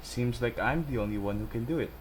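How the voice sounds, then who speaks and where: A woman's voice: rumbling low, fast, slight background noise. A woman giving casual let's-play commentary, reacting in short interjections. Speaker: gaming video host.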